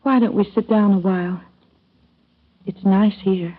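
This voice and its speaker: An actress playing a soft-spoken, shy young woman in love in a 1930s radio drama. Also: mid-range voice, fairly even intonation, a little slow, lightly acted. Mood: tender. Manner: soft, gentle, wistful. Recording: thin phone-like old radio recording.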